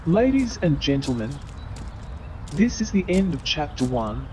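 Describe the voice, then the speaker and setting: A man's voice: a little high, big pitch swings, a little slow, a little mellow. A man giving theatrical scripted narration for a comic stage play. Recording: noisy, with crowd sounds behind.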